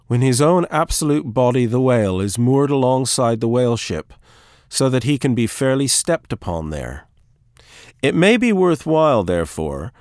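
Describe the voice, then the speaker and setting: A man narrates literary prose as an audiobook reader, with formal, carefully measured phrasing. His mid-pitched voice moves through wide, lively swings of intonation. The recording is close and dry, with no room sound around the words. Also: dry recording. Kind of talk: scripted literary narration, read aloud.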